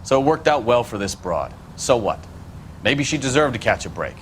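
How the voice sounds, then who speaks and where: A sardonic, crude American police detective, played by a male actor, talks in a medium-pitched voice with faint noise behind. He is blunt and hard-boiled, in period crime-game style. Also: big pitch swings, normal speed, close to an everyday voice. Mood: cynical. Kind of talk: 1940s crime game voice acting.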